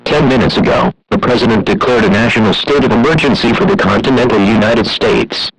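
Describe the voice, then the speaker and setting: A man's voice: mid-range voice, brisk, very noisy. A man reading a scripted emergency alert in a formal, impersonal manner.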